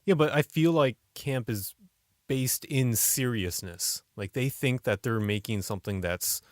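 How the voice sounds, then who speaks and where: A man's voice: mid-range voice, measured pace, dry recording, studio quality. A man co-hosting a podcast in casual discussion, questioning and debating his points. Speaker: podcast co-host.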